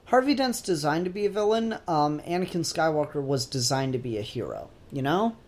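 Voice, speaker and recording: A man's voice: a little high, expressive, brisk. A man talking casually as a podcast host, opinionated and emphatic, swearing freely. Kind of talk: casual pop-culture podcast discussion.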